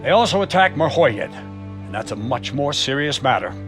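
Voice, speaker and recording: An actor playing a dutiful, businesslike medieval guard captain giving a report in a game cutscene. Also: male voice, mid-range voice, sing-song, normal speed, over music. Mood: grave. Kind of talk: dramatic video game cutscene acting.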